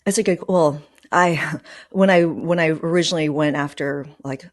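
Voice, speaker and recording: A woman's voice: deep voice, moderate pace. A woman hosting a podcast, chatting casually and candidly with advice, often dropping in "like" and "you know.". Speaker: podcast host. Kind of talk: casual conversational advice chat.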